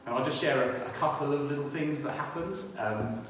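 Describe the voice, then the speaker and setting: British male church speaker, testimony and scripture, thoughtful halting delivery; long reverb, faint background noise. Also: mid-range voice. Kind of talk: personal testimony and scripture reading. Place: inside a church. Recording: echoey church hall, distant, faint noise.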